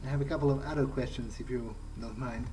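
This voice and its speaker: A man's voice: mid-range voice, fairly even intonation, quick pace, background noise. A man hosting a let's play, speaking conversationally in character in a hesitant, musing way. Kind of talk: in-character gameplay roleplay, conversational. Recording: faint game music behind. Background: game soundtrack.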